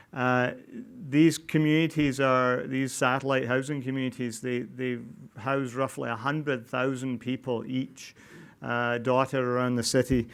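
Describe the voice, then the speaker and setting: A middle-aged man with a Scottish accent speaks to a live audience in a smooth, resonant voice. He stays fairly level in pitch and keeps stopping to restart his sentences with plenty of ums and uhs. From Scotland, Glasgow. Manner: halting, with many fillers and restarts. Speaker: non-profit housing developer. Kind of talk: public talk to an audience. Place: event venue before an audience.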